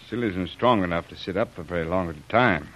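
An actor playing a grave, kindly jungle doctor in an old radio drama, measured and a little hesitant. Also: male voice, sits low, a bit bright. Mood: concerned. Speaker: doctor character. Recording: noisy old radio recording.

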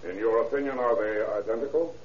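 A man playing a courteous, methodical defense attorney in an old-time radio courtroom drama, speaking formally and deliberately. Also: medium pitch, darkish tone, lightly acted. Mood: formal questioning. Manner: formal, deliberate, politely lawyerly. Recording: echoey, muffled old radio recording, faint hiss.

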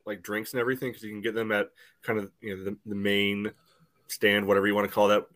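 Male co-host, lowish pitch, rambling and conversational, casual sports podcast. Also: flat delivery, fast talker, a bit bright. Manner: loose, meandering, peppered with "you know".

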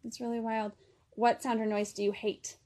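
Podcast host, middle-aged woman, raspy from a fading voice, a touch high, fills talk with "like.". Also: flat delivery, normal speed, warm tone. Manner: playful, says "like" often. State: losing her voice. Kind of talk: casual chat.

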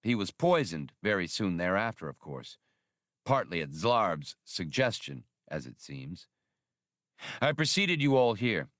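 A man's voice: low-pitched, big pitch swings, measured pace, a bit bright, no room sound, clean studio sound, heavily acted. A man voicing a suave, mustached veteran gunman in an audiobook, speaking in a polished, coolly amused manner. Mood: smooth, explaining.

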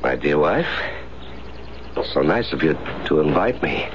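A menacing madman in a 1970s radio drama. His voice is extremely low and gravelly, drawn out with mock-polite sarcasm, on a dull and noisy recording. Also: sing-song, heavily acted. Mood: sardonic menace. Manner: slow, deliberate, mock-polite. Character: menacing, sardonic, homicidal madman husband just released.

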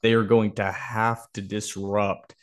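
Low-voiced man breaking down football. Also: flat delivery, unhurried. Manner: opinionated, emphatic. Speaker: sports podcast host. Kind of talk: football preview analysis, conversational.

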